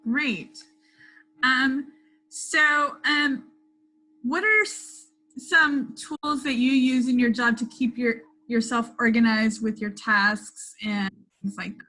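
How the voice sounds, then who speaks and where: A woman teacher interviewing a guest, asking questions slowly with encouraging short replies. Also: fairly high pitch, sharp tone, low-quality recording. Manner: slow, encouraging, short affirmations.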